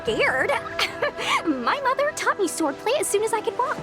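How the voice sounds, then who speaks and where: Very high girlish voice, animated, eager young swordswoman, proud and earnest, game dialogue over music. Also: a little slow, heavily acted. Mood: proud, worried. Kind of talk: anime-style game voice acting.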